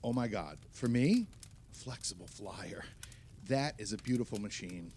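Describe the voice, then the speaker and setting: An actor playing a jovial, enthusiastic husband hosting a holiday party in an audio play, hearty and exclamatory. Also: male voice, fairly low pitch, expressive, unhurried, a little mellow, slight background noise. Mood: delighted.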